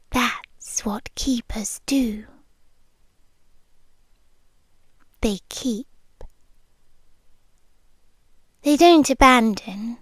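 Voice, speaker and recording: A British woman voicing a possessive, centuries-old creature girlfriend in an intimate ASMR roleplay, soft-spoken and often whispering, close to the microphone. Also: a little high, dry recording. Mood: quietly possessive. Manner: slow, intimate, deliberate pauses, slipping into whispers. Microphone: close, dry microphone.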